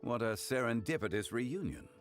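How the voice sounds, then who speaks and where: An actor playing a courteous, unflappable scholarly gentleman in a video game, speaking formally and eloquently in measured phrases. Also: mid-range voice, expressive, faint music behind, close to an everyday voice. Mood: composed.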